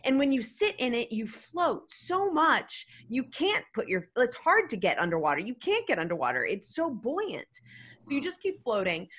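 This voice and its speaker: A woman's voice: a little high, moderate pace, breathy edge. A woman telling a comedic story to a podcast panel, rambling and emphatic. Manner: animated, rambling, emphatic with "freaking". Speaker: guest storyteller.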